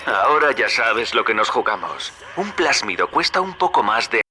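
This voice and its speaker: A man's voice: medium pitch, big pitch swings, moderate pace, pushed and bright, slight background noise. A man with a Castilian Spanish accent playing a wily, folksy hustler in a video game, talking in a chummy, colloquial, paternal way. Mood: persuasive, chummy. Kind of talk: video game character acting.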